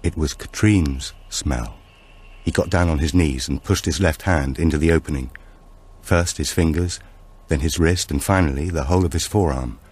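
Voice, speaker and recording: A man narrating a suspense thriller audiobook in a measured, dramatic manner. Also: a bass voice, a little mellow, husky.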